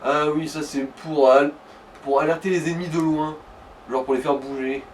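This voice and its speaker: A man's voice: on the high side, fairly even intonation, normal speed. A man giving casual gaming explanations to a player, piecing them together hesitantly as he goes. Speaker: advisor to the player in a let's play. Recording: distant mic, faint background noise.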